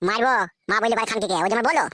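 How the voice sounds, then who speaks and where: A performer voicing an exasperated, crude village husband in a comedy cartoon, a pitched-up, sped-up voice and a blustering, coaxing manner. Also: very fast, a bit bright, no echo. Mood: angry. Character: exasperated, crude village husband caught between two wives.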